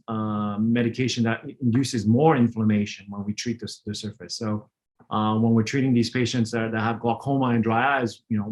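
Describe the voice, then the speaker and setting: A middle-aged man from France, with no trace of a French accent, giving a relaxed online lecture, full of fillers and restarts. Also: mid-range voice. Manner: frequent fillers and self-restarts, conversational. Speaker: optometrist lecturer. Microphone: online webinar.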